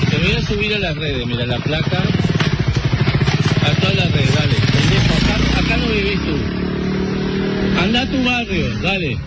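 A man with an Argentine accent scolds and insults someone in a high, strained voice. He sounds angry and shouts his orders. Heavy traffic and motorbike noise from the street fill the clip behind him. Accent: Argentine Spanish. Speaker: man in a viral street video. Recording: noisy street video clip. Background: loud traffic and motorbike noise.

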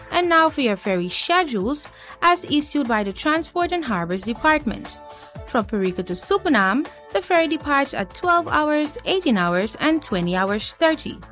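A woman reading a transport schedule in a formal, measured announcement. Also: sits high, a bit bright. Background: faint music.

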